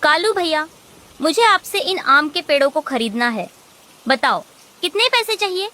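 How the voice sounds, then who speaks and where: Woman voicing a high, bright kindly sparrow. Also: very animated, unhurried, heavily acted. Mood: bargaining. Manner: friendly, assured. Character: kind, helpful, clever female sparrow. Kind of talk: animated children's moral story dialogue.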